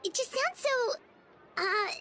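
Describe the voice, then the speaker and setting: A woman's voice: very high voice, expressive, takes it slowly, pushed and bright, slight background noise, heavily acted, low-quality recording. A woman voicing a tiny, childlike fairy companion in a video game, bubbly, blunt and full of exclamations. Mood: amazed. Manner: bubbly, third-person self-reference, exclaiming. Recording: music behind the voice.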